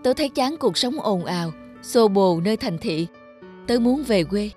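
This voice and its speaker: A man's voice: high-pitched, expressive, normal speed, a little mellow, faint music behind. A man playing a determined, optimistic young man in a cartoon, speaking earnestly and confidently. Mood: resolute. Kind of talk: cartoon dubbing.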